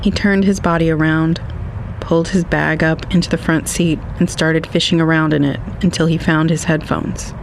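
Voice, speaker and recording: A man reading scripted literary narration for an audio drama in a measured, wistful manner. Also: on the high side, little pitch movement, gravelly, slight background noise, low-quality recording.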